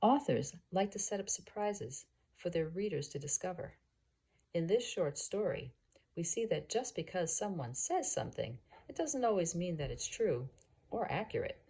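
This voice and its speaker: A woman who works as a teacher reads a story aloud in an expressive, explanatory manner. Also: fairly low pitch, husky. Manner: expressive, explanatory, pausing to gloss words. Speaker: teacher reading aloud for students. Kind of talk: scripted storybook read-aloud.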